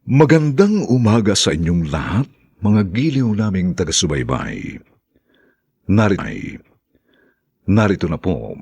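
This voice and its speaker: A man's voice: fairly low pitch, gravelly, breathy edge. A man with a Filipino accent delivering solemn, theatrical scripted narration as a radio drama announcer.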